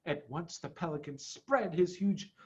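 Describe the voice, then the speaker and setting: A man reading a children's storybook aloud expressively, with dramatic pauses. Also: on the high side, a little mellow. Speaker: head of school reading aloud. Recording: dull, muffled sound.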